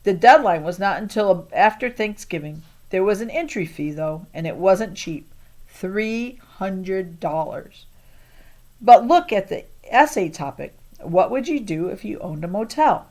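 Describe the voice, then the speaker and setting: Woman, audiobook reader, expressive first-person storytelling, mid-pitched voice, occasional stumbles over words. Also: measured pace. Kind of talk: expressive children's novel narration in first person.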